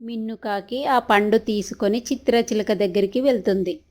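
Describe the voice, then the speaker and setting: A woman's voice: mid-range voice, normal speed, darkish tone. A woman narrator reading a scripted children's story.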